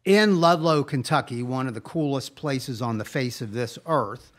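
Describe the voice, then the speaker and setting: A man's voice: medium pitch, moderate pace. A middle-aged man chatting casually as a podcast co-host, introducing a guest in an enthusiastic, rambling storytelling way. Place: live podcast recording at a coffee parlor.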